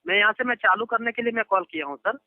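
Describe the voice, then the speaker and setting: A man's voice: sits high, very fast, no room sound. A man on a phone call in the role of bank support, talking in an insistent, repetitive, reassuring way and addressing the listener formally. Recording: lo-fi telephone line recording. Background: phone call audio.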